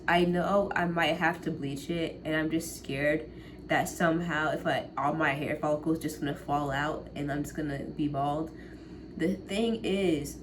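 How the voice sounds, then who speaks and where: A low-pitched young woman in her late teens rambles casually to her viewers. She laughs easily and lets her sentences trail off. There is clear background noise under her voice. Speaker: college vlogger. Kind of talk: casual chat to camera.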